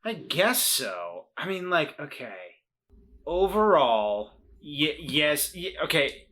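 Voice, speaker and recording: A man chatting as a player in a tabletop game, excitable and tripping over his words. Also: on the high side, slow. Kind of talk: casual chat among players.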